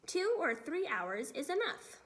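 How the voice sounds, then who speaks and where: A woman voicing a kindly, excitable, very old male giant who talks in muddled grammar and whimsical made-up words for a storybook. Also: very high voice, measured pace, pitched above the performer's own voice, heavily acted. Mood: patient, mildly chiding.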